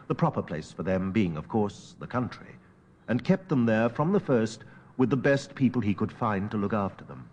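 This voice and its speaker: Casting: educated gentleman telling a ghostly tale, measured and grave, widely swooping intonation, dull-edged recording. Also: on the low side. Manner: measured, theatrical, with weighty pauses. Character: educated Victorian gentleman recounting a haunting memory, grave and wistful. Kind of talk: dramatic literary audiobook narration.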